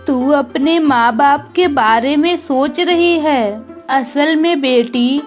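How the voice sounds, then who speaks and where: A woman's voice: high-pitched, slow, faint music behind. A woman voicing an ailing village mother in a cartoon story, tender, weary and resigned. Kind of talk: acted Hindi moral story cartoon dialogue.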